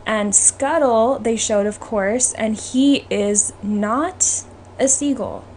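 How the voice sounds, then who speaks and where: A woman's voice: on the high side, takes it slowly, a little mellow. A woman hosting a podcast, chatting casually and giving her opinions in an informal, conversational way. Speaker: podcast host. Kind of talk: casual chat about films. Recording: noisy room ambience behind the voice.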